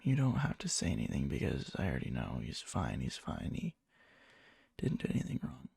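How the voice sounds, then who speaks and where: A man playing a needy yandere young man confessing love to a friend in a hushed, halting ASMR roleplay, often slipping into a whisper, breathy and gravelly. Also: a bass voice, wildly swooping pitch, no echo, studio quality, full character voice. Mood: uneasy, infatuated. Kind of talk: ASMR boyfriend roleplay, soft intimate storytelling. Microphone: close mic, dry.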